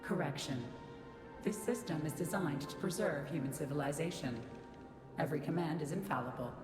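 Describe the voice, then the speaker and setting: A woman's voice: deep voice, brisk, natural voice. A woman voicing a cold, imperious computer system in a video game, formal and emotionless in her delivery. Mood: cold, insistent. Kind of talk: scripted video game voice acting. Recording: echoing, loud game music behind.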